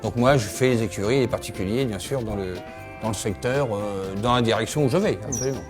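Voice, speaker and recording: A middle-aged man from Normandy, working as a farrier, answering interview questions outdoors at his horse yard in a steady way, often agreeing with "absolutely". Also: on the low side, fairly quick, over music. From Normandy, France.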